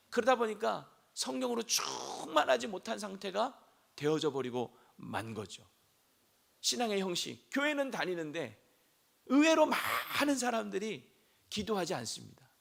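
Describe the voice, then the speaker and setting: A man preaching a sermon as senior pastor, hoarse from a throat cold, asking the congregation questions and rising to shouts. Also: high-pitched. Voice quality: gravelly.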